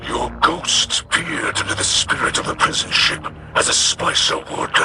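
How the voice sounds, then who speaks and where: A man's voice: measured pace, close to an everyday voice. A man playing a solemn, stoic alien warrior leader in a video game, speaking in formal, reverent, weighty phrases. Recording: music behind the voice.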